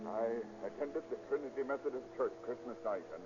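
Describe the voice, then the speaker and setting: An actor playing an evasive, defensive doctor accused of murder, with guarded, hesitant delivery in 1930s radio style. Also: male voice, mid-range voice, fairly quick, noisy background, lightly acted. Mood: defensive, insistent. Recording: dull 1930s radio recording.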